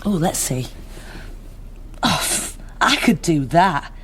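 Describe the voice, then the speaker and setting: A woman's voice: lowish voice, animated, slow, noisy background. An actress playing a blunt, dry, sceptical woman in a naturalistic radio drama.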